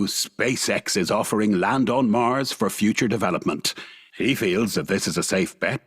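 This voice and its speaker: A man playing the deadpan narrator of a satirical radio play. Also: fairly low pitch, noisy background.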